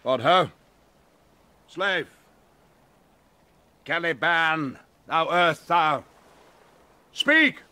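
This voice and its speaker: An actor playing a commanding, stern sorcerer in a Shakespearean audio play, imperious and theatrical, moving between hushed and thundering. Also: male voice, on the high side, very slow, long pauses, smooth, no room sound, heavily acted. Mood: angry. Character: commanding, stern male sorcerer master and father. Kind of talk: Shakespearean audio drama acting.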